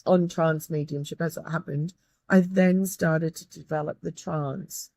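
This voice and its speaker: A woman from Australia with a croaky, tired voice, a guest speaking earnestly in an interview with frequent restarts. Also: deep voice, fairly even intonation, a little slow, a little mellow, no echo, studio quality. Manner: earnest, grateful, with many restarts. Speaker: guest, medium and tutor. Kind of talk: reflective interview talk.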